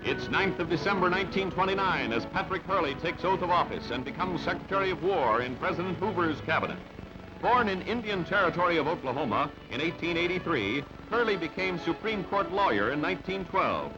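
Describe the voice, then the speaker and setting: A male newsreel announcer with a high-set voice delivers clipped, dramatic headline phrases. The narration is scripted and declarative. The sound is dull and lo-fi, with music prominent behind him. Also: animated, brisk. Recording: dull band-limited newsreel audio, loud music. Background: loud music.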